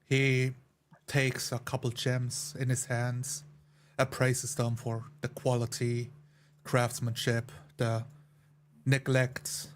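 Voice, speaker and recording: Slow, even-toned male roleplayer. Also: medium pitch. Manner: measured, pausing between phrases. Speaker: tabletop game player. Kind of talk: third-person roleplay narration.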